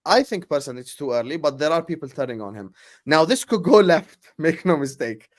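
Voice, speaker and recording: A brash man with a higher-than-usual voice talks football with friends. He swears freely and teases the others. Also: animated, fairly quick. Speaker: football podcast co-host. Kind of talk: heated casual football chat.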